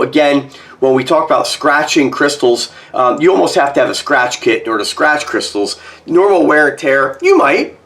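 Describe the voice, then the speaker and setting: Casual reviewer: North American man, 40s, medium pitch, slightly hoarse with a cold, conversational and emphatic. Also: a bit bright. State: getting over a cold. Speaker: watch reviewer. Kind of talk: casual product review to camera. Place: small home office, indoors.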